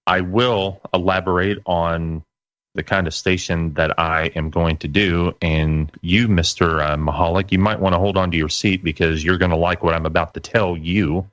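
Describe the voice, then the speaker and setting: Deep-voiced man in his late forties. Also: fairly even intonation, normal speed, fairly bright tone, no room sound, highs cut off. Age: late 40s. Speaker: guest, internet radio broadcaster. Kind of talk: casual interview chat.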